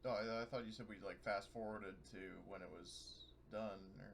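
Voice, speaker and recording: A man's voice: fairly low pitch, fairly even intonation, moderate pace, fairly bright tone, a little hiss or hum. A man playing a tabletop game, talking casually in a tentative, questioning way.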